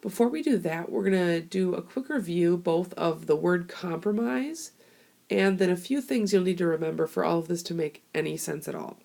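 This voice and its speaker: A woman's voice: fairly low pitch, moderate pace, gravelly, dark tone. A young woman teacher with a cold, giving a casual, chatty recorded history lesson and explaining with fillers into a tablet microphone. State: hoarse from a cold. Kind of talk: classroom screencast lesson.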